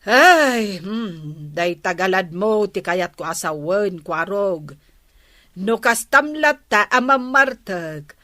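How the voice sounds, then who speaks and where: Bright, nagging fed-up woman. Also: mid-range voice, lively intonation, takes it slowly, dry recording, studio quality. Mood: scolding. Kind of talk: Ilocano radio drama acting.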